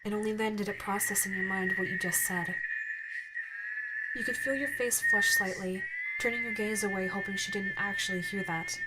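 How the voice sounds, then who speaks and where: Woman narrating softly over clear music. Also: medium pitch, animated, normal speed, rough recording. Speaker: narrator and voice actress. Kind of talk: scripted second-person ASMR story narration. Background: instrumental music.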